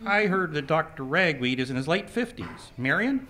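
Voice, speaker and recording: Casting: man as a flustered young suitor, bright high voice, lively. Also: moderate pace, slight background noise. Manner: flustered, eager. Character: nervous lovestruck young man.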